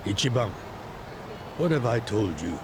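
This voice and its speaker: An actor voicing a calm, fatherly middle-aged yakuza boss, measured and gently admonishing, in an English-dubbed game. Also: fairly low pitch, background noise. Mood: instructive.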